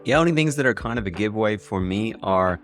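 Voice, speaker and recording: An Irish man hosting a casual software tutorial in an enthusiastic way. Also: fairly low pitch, lively intonation, fast, soft background music. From Ireland. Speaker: tutorial video host.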